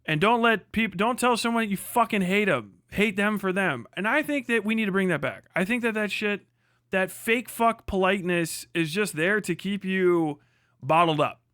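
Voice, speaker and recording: A man's voice: high voice, lively intonation, normal speed, fairly bright tone, no room sound, studio quality. A man from the United States giving a casual, profane opinion rant as a livestream host, blunt, irreverent and emphatic.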